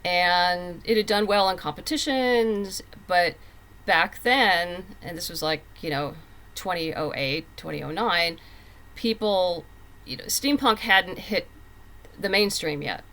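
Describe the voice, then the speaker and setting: Woman, slightly low pitch, bright forceful tone, candid, casual interview chat. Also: slow. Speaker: interview guest, comic book writer.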